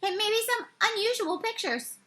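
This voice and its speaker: A woman's voice: very high-pitched, normal speed, bright, forceful tone, voice pushed up. A woman voicing a bossy, wry but patient beagle scout leader in a children's storybook. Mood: instructive. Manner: instructing, exclaiming, sometimes sarcastic. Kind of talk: children's storybook read-aloud.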